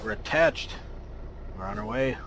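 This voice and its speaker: A man's voice: medium pitch, huge pitch swings, measured pace, vocal fry, very noisy. A man from the American Midwest casually commentating as he plays a driving game, chatty and rambling with lots of fillers. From Minnesota, United States. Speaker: let's play host.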